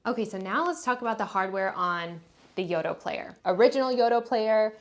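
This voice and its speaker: A woman's voice: medium pitch, animated, fairly quick. A woman giving a casual, conversational product review as a parent talking to viewers. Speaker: parent reviewing kids' toys.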